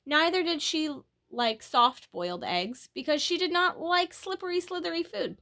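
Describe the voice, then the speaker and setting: A woman reading a children's book aloud as a storyteller, in an expressive, animated way that leans on key words. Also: extremely high pitch, normal speed, gravelly.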